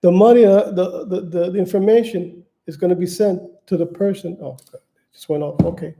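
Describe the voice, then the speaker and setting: A man from New York with a high-pitched voice that still sounds very dark and mellow. He talks off the cuff to his viewers, stumbling and repeating words as he searches for his next phrase. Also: fairly even intonation, fairly quick. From Manhattan, New York, United States. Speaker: channel host appealing for donations. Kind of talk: casual unscripted livestream talk.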